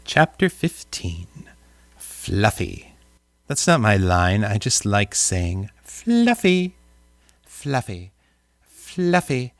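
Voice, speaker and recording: A man's voice: on the low side, sing-song, very slow, no room sound. A British man voicing an audiobook, breaking off into joking asides and silly sing-song bits between lines. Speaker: audiobook voice actor. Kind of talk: playful recording outtakes.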